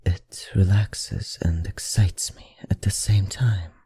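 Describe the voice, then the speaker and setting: Someone acting a husky, whispery, deep-voiced seductive vampire librarian. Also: steady tone, warm tone, dry recording, full character voice. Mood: enchanted, tender. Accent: put-on foreign accent. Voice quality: breathy, husky, often dropping to a whisper. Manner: slow, soothing, courtly formal speech. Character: seductive, teasing vampire librarian feeding on a visitor. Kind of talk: ASMR roleplay.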